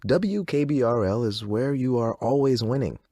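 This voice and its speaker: A smooth-talking DJ played by a man on an eerie game radio station. He is wry and faintly unsettled, with lively swooping intonation and a dry, close studio sound. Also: mid-range voice, moderate pace, no room sound, natural voice. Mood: slick, upbeat. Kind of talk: radio DJ patter.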